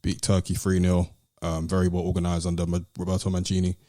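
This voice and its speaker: A British man hosting a podcast in casual banter with friends, animated and teasing in manner. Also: low-pitched, quick pace, no room sound, clean studio sound. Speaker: podcast host.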